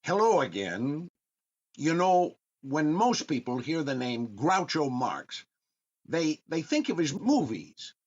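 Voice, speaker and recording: A man's voice: on the high side, sing-song, takes it slowly, darkish tone, exaggerated voice. A man playing a genial, mildly vain old-time radio comedian delivering a conversational monologue. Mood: genial, musing. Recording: dull, band-limited microphone.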